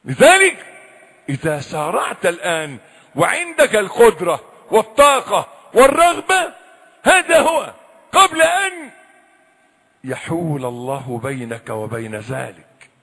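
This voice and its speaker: A man preaching a sermon, swinging between loud cries and hushed, low warnings. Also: big pitch swings, measured pace, slightly rough. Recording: echoey hall with long reverb.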